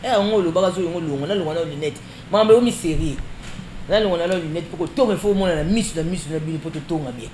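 A woman hosting an interview, animated and quick with interjections, mixing two languages. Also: lowish voice. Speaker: interviewer host. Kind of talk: lively interview chat. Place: studio set with wooden walls, indoors. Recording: echoey room with clear background noise.

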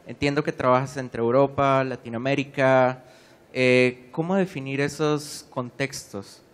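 Smooth-voiced man asking from the audience. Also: mid-range voice, fairly even intonation, unhurried. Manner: polite, hesitant. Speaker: audience member asking a question. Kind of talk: question from the floor.

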